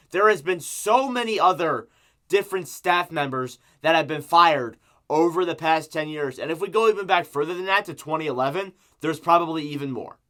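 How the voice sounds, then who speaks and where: A man's voice: fairly high pitch, bright, forceful tone. A man with a sore throat from strep giving an emphatic, exasperated, opinionated sports rant as a fan making an online video. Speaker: hockey fan YouTuber.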